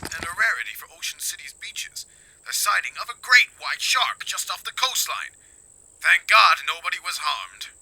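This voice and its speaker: A man's voice: high voice, expressive, normal speed, pushed and bright, full character voice. A man playing an upbeat local radio announcer in a radio play, over a slightly noisy background. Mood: newsy. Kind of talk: radio broadcast patter in a radio play.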